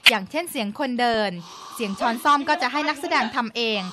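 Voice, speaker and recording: A woman's voice: fairly high pitch, fast talker, heavy background noise. A woman narrating a television news feature from a script in a polite, polished presenter manner.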